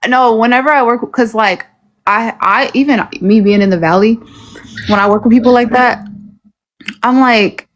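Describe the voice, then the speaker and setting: A woman's voice: a little high, moderate pace, long pauses, lo-fi sound. A woman chats casually on a group livestream. She talks in an informal, giggly way, as if among friends. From Los Angeles, United States. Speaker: first-time livestream participant.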